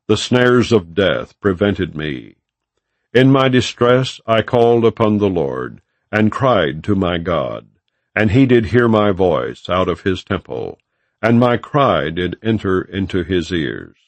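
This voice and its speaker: Male narrator for non-dramatized scripture audiobook: unhurried, solemn, lively rise and fall, close dry sound. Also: sits low, no room sound. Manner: measured, solemn, formal delivery.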